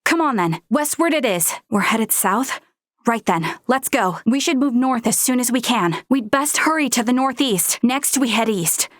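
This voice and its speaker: A woman's voice: fairly high pitch, animated, normal speed, no echo, clean studio sound, natural voice. An actress playing an earnest, polite and conscientious young female Federation officer in a video game. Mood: brisk, determined. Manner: polite, conscientious, sometimes hesitant.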